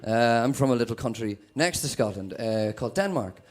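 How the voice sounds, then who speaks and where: Northern Irish male comic in his twenties, sweary and deadpan, lively pitch swings. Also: brisk. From Northern Ireland. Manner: sweary, animated, deadpan asides. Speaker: stand-up comedian. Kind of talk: stand-up comedy set.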